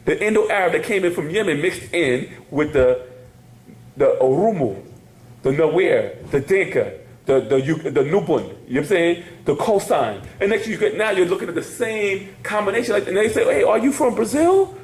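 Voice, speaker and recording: A man's voice: sits high, animated, normal speed, darkish tone, echoey room, rough recording. A man teaching a casual class, rambling and laughing, often asking "you hear me?". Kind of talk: casual spoken class lecture.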